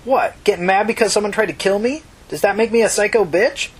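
A woman's voice: medium pitch, a little fast, slightly rough, pitched above the performer's own voice, close to an everyday voice. A woman voicing a desperate, stammering teenage runaway girl in an emotional audiobook story. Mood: angry, defensive. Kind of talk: audiobook character dialogue.

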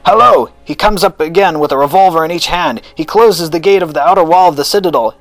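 A man voicing an anxious, excitable elderly gentleman in a storybook, with dramatic, old-fashioned formal speech. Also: mid-range voice, fairly quick, husky, dry recording, faint music behind, rough recording. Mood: alarmed, excited.

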